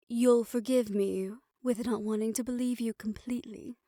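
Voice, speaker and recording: A woman playing a reformed obsessive doctor in a fantasy audio roleplay, speaking in a measured, formal, softly teasing way with quiet care. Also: on the high side, fairly even intonation, no echo, studio quality, close to an everyday voice. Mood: concerned, probing.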